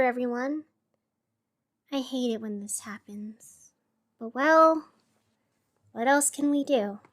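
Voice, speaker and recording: A woman playing a chatty, earnest radio host who reports a fantasy city's troubles in an expressive, conversational, scripted news style. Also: a little high. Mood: sad, downcast. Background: music.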